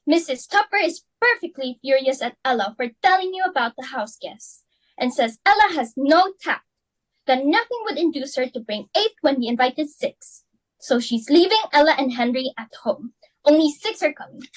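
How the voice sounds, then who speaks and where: A fussy, fretful society hostess, read aloud by a woman in a student dramatic reading. Her voice is high and leaps all over the place as she frets and exclaims. The sound is dry and close. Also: expressive, moderate pace, no room sound, rough recording. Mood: exasperated. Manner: theatrical, exclaiming, fretful, melodramatic swings. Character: fussy society hostess, Mrs. Pringle, middle-aged woman. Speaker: student reader.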